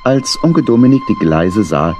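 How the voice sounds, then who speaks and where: A man's voice: on the low side, big pitch swings, moderate pace, darkish tone, faint music behind. A man narrating a children's story in an audio drama as a scripted storyteller.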